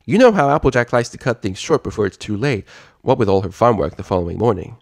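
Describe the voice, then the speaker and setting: A man's voice: on the low side, animated, fast, vocal fry, no room sound, clean studio sound. A man voicing a bubbly, eager young female pony princess in a storybook reading. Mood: cheerful.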